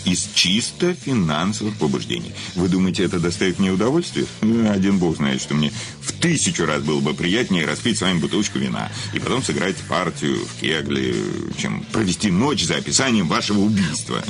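An actor in a radio play as a menacing, self-satisfied famous writer, speaking in a mocking, theatrical way with hesitant hums and pauses. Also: male voice, sits low, big pitch swings, creaky, faint music behind, full character voice. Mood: mocking, cynical. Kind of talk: theatrical radio drama acting.

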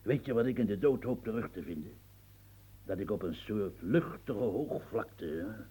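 An actor in a radio play as a grumbling old French marquis and former soldier, husky, stammering and repeating himself as he muses. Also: lowish voice, lively intonation, moderate pace, dark tone. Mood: wistful, musing. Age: older. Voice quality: gravelly, husky. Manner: stammering, repetitive, musing, earthy, prods with "huh". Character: rambling, grumbling old French marquis, retired military officer.

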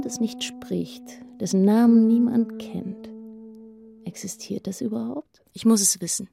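Lonely shy schoolgirl thinking to herself, kinda creaky, hesitant, radio drama w music behind. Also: medium pitch, mellow. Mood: bleak, resolute. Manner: introspective, hesitant. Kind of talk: radio play inner monologue. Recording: music under the voice.

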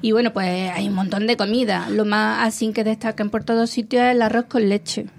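A woman's voice: medium pitch, fairly even intonation, brisk, gravelly, slight background noise. A woman on a casual talk show, telling food history enthusiastically and rambling a bit as she goes.

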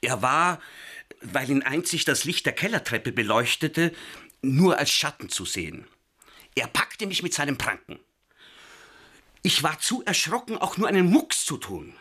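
An actor playing a wry, exasperated old burglar in a German radio crime drama, narrating and speaking in dialogue. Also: male voice, fairly high pitch, sing-song, measured pace, pushed and bright, husky, full character voice. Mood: tense, annoyed. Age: 70s. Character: grumbling old burglar recounting a night break-in.

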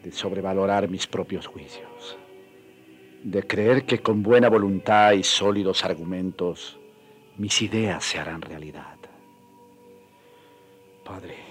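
A man's voice: low voice, steady tone, slow, long pauses, soft background music. An actor playing a weary, humble man seeking peace in a radio drama, resigned and penitent, with sighing breath. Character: weary, humble, dejected Spanish defender of the natives, seeking peace.

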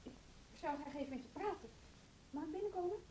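A woman acting in a naturalistic radio drama as a composed, frank school colleague with a measured, slightly husky voice. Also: sits high, background noise, close to an everyday voice. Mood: tentative.

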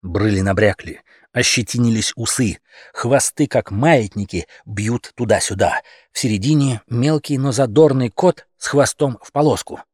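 A male narrator reads a humorous fairy tale with theatrical expression. His voice is medium in pitch and a little rough and husky. The sound is dry, with no room around it. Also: moderate pace, a little mellow, no echo. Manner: theatrical, dramatic storytelling. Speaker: audiobook narrator.

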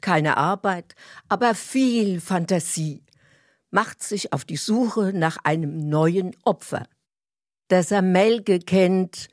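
Female narrator, unhurried, slightly low voice, scripted scene-setting, close dry sound. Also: dry recording. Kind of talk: scripted narration introducing a comedy.

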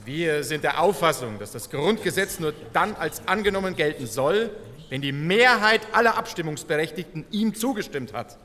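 Sharp, combative parliamentarian. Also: male voice, fairly high pitch, lively intonation, moderate pace. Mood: firm, formal. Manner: emphatic, protesting, formal. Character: insistent, combative male member of parliament. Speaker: actor in a documentary radio play. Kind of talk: dramatised parliamentary debate. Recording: reverberant large hall.

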